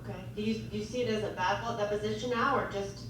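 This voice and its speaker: A woman's voice: medium pitch, fairly even intonation, very fast, far from the mic. A woman with an American accent, a reporter asking direct, friendly questions at a press conference. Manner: direct and friendly, laughs easily. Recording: echoey room with background noise.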